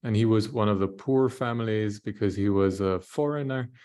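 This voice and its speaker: A man from Austria, a panelist telling his story in a reflective, measured way. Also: lowish voice, warm tone. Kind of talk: panel discussion.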